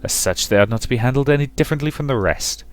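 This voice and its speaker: A man voicing an ancient, callous male predator who feeds on people, talking in a smooth, condescending, amused way. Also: medium pitch, quick pace, soft background music, voice pushed up, lightly acted. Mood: silky. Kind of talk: visual novel voice reading.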